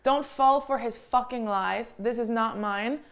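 A woman's voice: fairly high pitch, measured pace, clean tone. Teasing, chatty young woman streamer. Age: late 20s. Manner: chatty, teasing, swears freely. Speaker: streamer co-host. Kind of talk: casual live-stream chat. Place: home kitchen, indoors.

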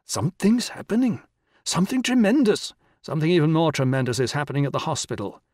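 A man's voice: medium pitch, very fast, darkish tone, no echo, clean studio sound, lightly acted. A man voicing a pushy, panicky teenage boy in a story, pleading urgently and wailing. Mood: urgent hush.

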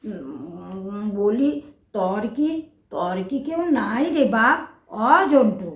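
A woman's voice: medium pitch, expressive, roomy sound. An actress playing a poor, querulous but motherly old woman with an East Bengali dialect, in a plaintive, grumbling audio drama style. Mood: tender, concerned. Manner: plaintive, grumbling, drawn-out speech.

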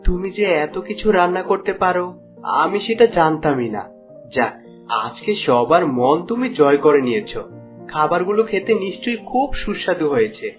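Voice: medium pitch, sing-song, normal speed, music in the background, natural voice. An actor voicing an earnest, polite young prince and new husband in a fairy-tale cartoon. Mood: pleased.

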